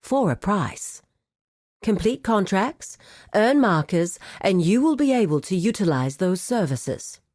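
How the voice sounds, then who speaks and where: A woman's voice: medium pitch, very animated, a little slow, a little mellow, no room sound, clean studio sound. A woman voicing a composed, formal administrator of a criminal guild in a video game, speaking precisely and with cool courtesy. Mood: formal, businesslike. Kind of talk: scripted video game character dialogue.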